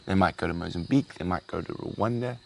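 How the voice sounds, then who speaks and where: A man's voice: sits low, quick pace, vocal fry. A young man hosting a live wildlife stream, chatting casually and saying he is losing his voice. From South Africa. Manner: chatty, reads out viewer thanks, breaks into excited exclamations. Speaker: wildlife show host.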